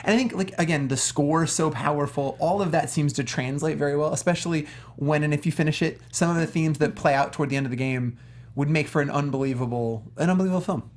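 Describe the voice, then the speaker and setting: A man's voice: fairly high pitch, fast talker, a little hiss or hum. A man on a discussion panel, talking casually about films in an earnest, rambling, conversational way. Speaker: talk show panelist.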